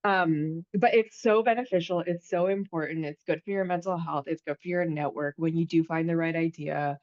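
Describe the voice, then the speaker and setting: A woman's voice: low-pitched, steady tone, normal speed, a little mellow, no room sound, muffled recording. Chatty American woman in her thirties. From United States. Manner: chatty, with many fillers and restarts. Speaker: podcast host. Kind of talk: casual conversation.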